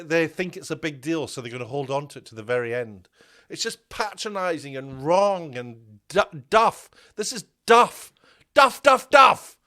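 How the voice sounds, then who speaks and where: Man, slightly high pitch, indignant commentary rant, lots of restarts and repeats. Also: a bit bright. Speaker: media commentator. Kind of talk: ranting commentary video.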